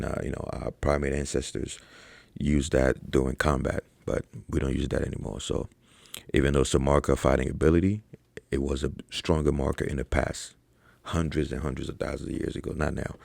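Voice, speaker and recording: Very deep gravelly-voiced young man. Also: a little mellow, no echo. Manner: profane, slangy, conversational with many fillers. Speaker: online fitness coach. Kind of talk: casual ranking commentary to camera.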